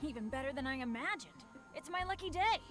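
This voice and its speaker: A high, perky young adventurer. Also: female voice, lively intonation, fast. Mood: delighted. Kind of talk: short scripted video game voice lines. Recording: game audio with music behind.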